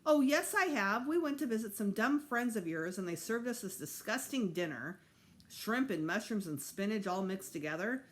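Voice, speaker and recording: A woman's voice: mid-range voice, expressive, moderate pace, lightly acted. A woman voicing an indignant, sulky and stubborn preteen boy in a storybook, complaining emphatically with sarcasm.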